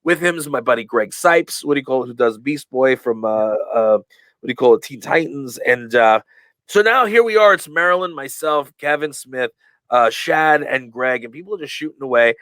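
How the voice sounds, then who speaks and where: A man's voice: mid-range voice, lively intonation, normal speed, fairly bright tone. A man tells stories on a podcast, wandering off track with fillers and restarts. His voice sits in a middle range, and he acts out quoted lines with plenty of animation. The sound is dry and close, with no room echo. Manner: rambling, full of fillers and restarts. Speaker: guest, professional wrestler. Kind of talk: casual storytelling in a podcast interview.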